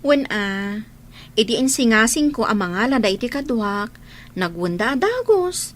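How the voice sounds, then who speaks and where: Chatty welcoming housemaid, ilocano accent, high and super animated, radio drama. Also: female voice, unhurried. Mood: breezy, welcoming. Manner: lively, welcoming. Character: welcoming, breezy veteran domestic helper abroad.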